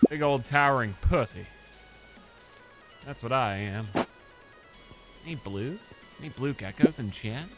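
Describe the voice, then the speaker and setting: A male streamer chatting casually with his live chat while playing a game, swinging from mumbled asides to loud outbursts. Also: mid-range voice, lively intonation, unhurried, long pauses, music in the background, poor audio. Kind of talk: casual gaming livestream chat.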